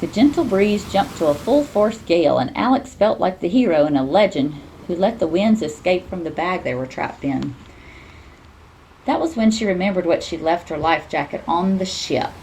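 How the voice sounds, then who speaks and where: A woman reading a children's storybook aloud in an expressive, teacherly way. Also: lowish voice, normal speed, slight background noise, poor audio.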